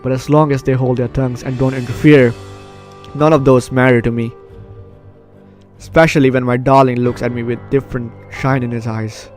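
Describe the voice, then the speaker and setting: A man's voice: mid-range voice, level tone, moderate pace, a little mellow. A man hosting a casual let's play, chatting and reading a story game's text aloud. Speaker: gaming video host. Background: game music.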